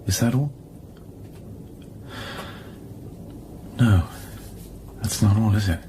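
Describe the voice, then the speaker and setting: Casting: male actor for weary space scientist, wry and cryptic, low voice, probing audio-drama delivery, faintly noisy surroundings. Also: moderate pace, dark tone, lightly acted.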